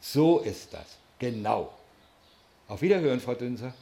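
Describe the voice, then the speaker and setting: An actor playing an older male flower shop owner in a radio play, cool and politely brisk. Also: mid-range voice, lively intonation, creaky, mellow, a little hiss or hum. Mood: polite, busy. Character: cool old bonsai florist, politely brisk shopkeeper. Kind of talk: radio play dialogue, on the telephone.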